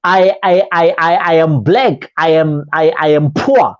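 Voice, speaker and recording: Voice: mid-range voice, unhurried, highs cut off. A motivational coach giving a talk full of rhetorical questions and repeated phrases, often restarting words.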